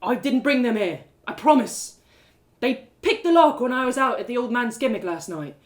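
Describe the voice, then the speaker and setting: A woman puts on an earnest young storybook man weighed down by guilt. He pleads in halting phrases, repeating himself, with a lively rise and fall. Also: fairly high pitch, pitched above the performer's own voice, natural voice. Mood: pleading.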